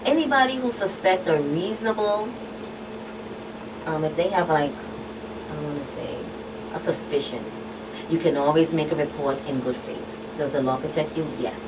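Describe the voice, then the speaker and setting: A woman's voice: low-pitched, normal speed, pauses often. A woman with a Caribbean accent speaking as a guest expert on a radio talk show, plain-spoken and emphatic. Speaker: guest expert, child protection official. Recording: distant mic, clearly noisy background.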